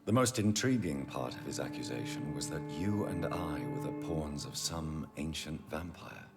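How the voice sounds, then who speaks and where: A man's voice: extremely low pitch, expressive, moderate pace. An actor playing a brooding male vampire doctor in a video game, grave and menacing when pushed. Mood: guarded, grave. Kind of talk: dramatic video game acting. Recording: dramatic music behind.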